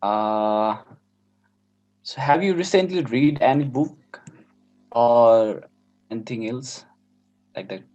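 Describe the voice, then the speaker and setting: A man's voice: mid-range voice, slow, pauses often, a little mellow. A man acting as mock examiner, asking interview questions hesitantly, often restarting them. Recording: dull lo-fi call audio.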